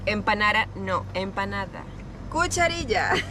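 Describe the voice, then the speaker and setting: A woman's voice: mid-range voice, sing-song, moderate pace, noisy background. A Venezuelan woman with a Venezuelan accent, speaking from a little way off the camera and playfully correcting a man's Spanish. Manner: playful, teasing. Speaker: companion correcting the host's Spanish.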